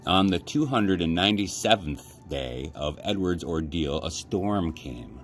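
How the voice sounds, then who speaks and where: A man reading a children's novel aloud as a library storyteller, with measured, dramatic phrasing. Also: low-pitched, lively intonation, faint music behind. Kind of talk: children's audiobook-style read-aloud. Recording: clear background noise.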